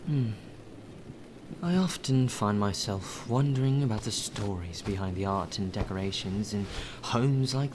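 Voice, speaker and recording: A man's voice: fairly low pitch, close to an everyday voice. A man playing a polite, deferential butler in an ASMR roleplay, with formal, flowery phrasing. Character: courteous, well-spoken male butler, deferential and appreciative. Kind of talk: ASMR roleplay acting, addressed to the listener. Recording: rain ambience and clear background noise.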